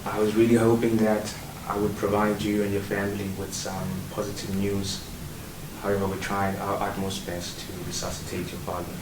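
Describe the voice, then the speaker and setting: An actor playing a gentle, formal doctor who breaks bad news in a stage drama. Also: male voice, lowish voice, monotone, moderate pace, lightly acted. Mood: grave, regretful. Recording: distant, echoey room, clear noise.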